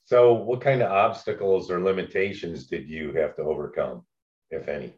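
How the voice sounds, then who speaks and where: A man hosting a casual interview with informal, halting phrasing, on a dull lo-fi recording. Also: low-pitched, darkish tone. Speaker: interviewer, host. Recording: dull, lo-fi audio.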